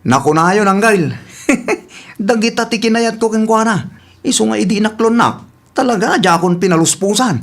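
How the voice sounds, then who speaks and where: A woman's voice: mid-range voice, expressive, normal speed. A woman playing an eager, teasing bachelor nephew in a comedic radio drama, with a Filipino accent and a playful, chuckling manner. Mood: smitten.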